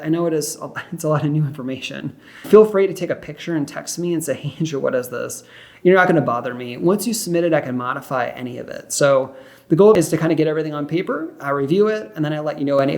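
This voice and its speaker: A man's voice: fairly high pitch, vocal fry. A young man working as a mortgage loan officer, explaining loan options to a client in an upbeat, reassuring way and affirming often. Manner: frequent affirmations like perfect and great. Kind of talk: one-sided mock phone consultation.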